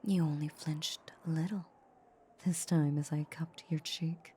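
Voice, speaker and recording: A woman playing a seductive, possessive female vampire in a breathy, whispered ASMR audio roleplay, lingering and teasing on her words. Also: low-pitched, fairly even intonation, a little slow, warm tone, a little hiss or hum. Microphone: close microphone.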